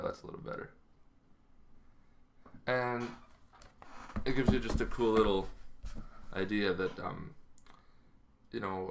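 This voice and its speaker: Low-voiced man chatting casually. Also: fairly bright tone, a little hiss or hum. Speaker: tabletop gaming video host. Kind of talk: casual explanatory vlog. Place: small bedroom at home, indoors.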